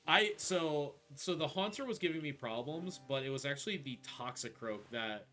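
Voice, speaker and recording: A man's voice: fairly high pitch, animated, normal speed, pushed and bright. A man giving casual, explanatory live commentary while he plays through a video game speedrun. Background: video game music.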